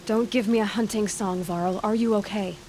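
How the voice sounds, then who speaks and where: An actress playing a determined, frank young huntress in a video game, direct and earnest with a dry edge. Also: female voice, mid-range voice, fairly even intonation, moderate pace, darkish tone, slight background noise, close to an everyday voice. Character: determined, frank young female hunter heroine. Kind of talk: video game cutscene acting.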